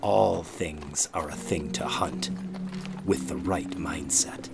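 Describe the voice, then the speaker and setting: Cold monster hunter, very low, slow, ominous, radio drama. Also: male voice, creaky, full character voice. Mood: grim, knowing. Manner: measured, ominous delivery. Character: brooding male hunter of monsters, cold and menacing. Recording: loud music behind the voice.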